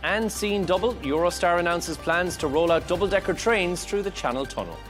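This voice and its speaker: A man's voice: high-pitched, a little fast, music in the background. A man presenting television news and interviewing guests as a studio anchor. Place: broadcast TV studio.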